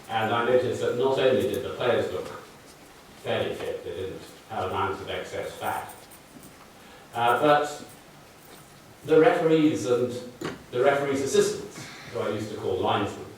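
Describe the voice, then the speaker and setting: A man's voice: medium pitch, expressive, normal speed, slight background noise. An older man with a Mancunian accent giving a public lecture, explaining charts with dry, wry asides. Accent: northern English, Mancunian. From Manchester, England. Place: large lecture hall. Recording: very echoey hall, voice from far off.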